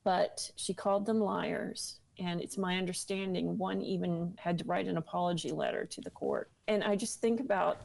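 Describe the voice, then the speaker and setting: A woman's voice: fairly low pitch, steady tone, moderate pace, creaky, few pauses, darkish tone. A woman speaking earnestly and seriously as an interview guest, giving personal testimony.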